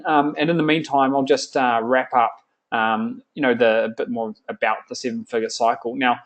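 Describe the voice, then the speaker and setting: A man in his thirties with a New Zealand accent hosting a live sales webinar, upbeat and salesy with frequent fillers. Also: medium pitch. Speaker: webinar host.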